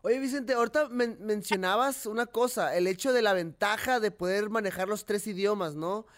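A young man hosting a casual fight-sports talk show, animated and chatty, often restarting his sentences. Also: sits high, normal speed. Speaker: host.

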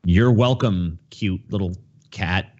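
A man's voice: low-pitched, slow, a little mellow, highs cut off. A man in a casual podcast chat as a host, talking bluntly and swearing freely. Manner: profane, blunt.

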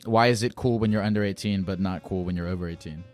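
Teasing, low-voiced man hosting a podcast. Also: quick pace, faint music behind. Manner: blunt, teasing, swears freely. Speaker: podcast host. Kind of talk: casual chat with a caller.

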